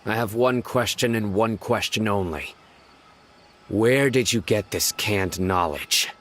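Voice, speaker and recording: An actor playing a stern investigator in a video game, measured, grave and commanding. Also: male voice, lowish voice, fairly bright tone. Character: stern, deep-voiced male investigator and judge.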